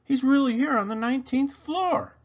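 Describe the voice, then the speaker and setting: A man's voice: extremely high pitch, normal speed, lo-fi sound, pitched above the performer's own voice. A man voicing an eager, earnest young boy who helps Santa in a children's storybook. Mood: excited. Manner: excited, earnest.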